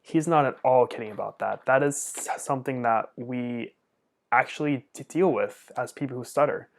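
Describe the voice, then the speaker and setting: Young adult male with a frequent stutter; relaxed, conversational delivery, middle pitch. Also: moderate pace, vocal fry. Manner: stutters often, with repeated first sounds. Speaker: speech pathologist answering viewer questions. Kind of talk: casual Q&A monologue.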